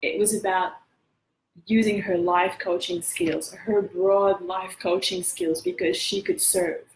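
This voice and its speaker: A woman's voice: lowish voice, steady tone, takes it slowly, echoey room, slight background noise, poor audio. A woman with a French accent hosting a live coaching session, talking earnestly and encouraging viewers to share their feedback. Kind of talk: motivational live-stream talk.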